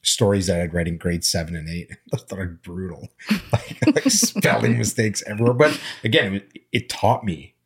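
Middle-aged man whose first languages are Armenian and Arabic, deep husky voice, relaxed reflective talk. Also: moderate pace. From immigrant, grew up speaking Armenian and Arabic. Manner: reflective, storytelling, frequent fillers. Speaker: panel guest. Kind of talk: casual conversation.

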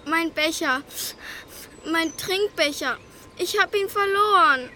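Earnest little girl, children's audio drama; very high, slow, childlike delivery. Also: bright, forceful tone, a little hiss or hum, heavily acted. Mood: tearful. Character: sad, hopeful little girl. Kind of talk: children's audio play acting.

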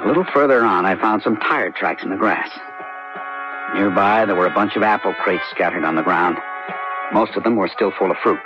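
Radio drama sheriff, male actor: deepish voice, suspicious and stubborn, telling his own tale on muffled vintage audio. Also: fairly quick, lightly acted. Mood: brooding. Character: suspicious, dogged small-town sheriff narrating his own story. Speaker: actor and first-person narrator. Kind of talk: late 1970s radio drama acting. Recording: dull vintage radio recording, loud music behind.

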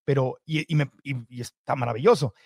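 A man hosting a podcast interview, asking quick chains of questions. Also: mid-range voice, creaky, slightly rough, no room sound, clean studio sound. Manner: asks rapid chains of questions. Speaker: podcast host. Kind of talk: interview conversation.

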